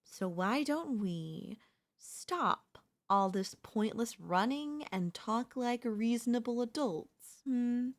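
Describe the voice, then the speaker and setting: A woman's voice: on the high side, animated. A woman voicing a chatty, teasing zombie in a comedic audio roleplay, talking casually and playfully straight to the listener. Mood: confident, taunting. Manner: casual, playful, taunting, slangy, drawn-out delivery. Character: chatty, teasing, cocky female zombie hunting a human survivor.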